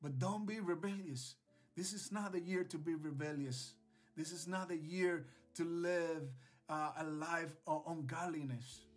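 Slow-paced man preaching over guitar music. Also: a little high. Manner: earnest, exhorting, repeats phrases for emphasis. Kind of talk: devotional sermon to camera, scripture reading. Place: small indoor home studio.

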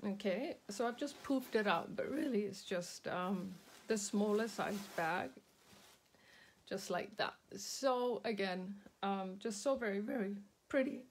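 A woman leads a live crafting session at her indoor table, greeting viewers as she works. Her throat is a little sore, and she speaks slowly and casually, filling pauses with ums. Her pitch sits at an ordinary middle level. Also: a little hiss or hum. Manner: slow, chatty, many ums, greets viewers by name. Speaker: craft demonstrator hosting a live stream.

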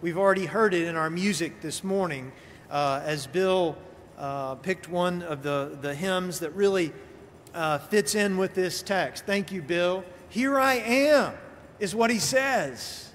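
Male pastor, middle-aged, high-pitched voice, storytelling sermon delivery from a pulpit in a reverberant church with noticeable noise. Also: unhurried. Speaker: pastor preaching. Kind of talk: sermon, conversational storytelling with acted-out dialogue. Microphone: pulpit gooseneck microphone. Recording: reverberant church hall, clear background noise.

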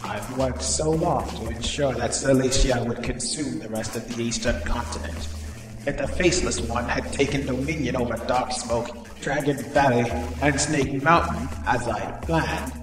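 A man's voice: mid-range voice, moderate pace, lightly acted. A man playing a menacing skeletal sorcerer king in an audio drama, imperious and commanding. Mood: bitter scheming. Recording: distant, cavernous reverb, music behind. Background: dramatic music.

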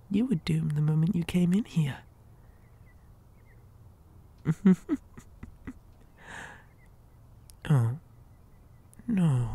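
A possessive living doll acted by a woman whispers in an ASMR horror roleplay. The voice is low and dark, with a creaky edge. It coaxes softly and hesitantly, with a gentle, childlike menace. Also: heavily acted. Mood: falsely soothing. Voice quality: breathy whisper. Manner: soft, coaxing, slow and hesitant.

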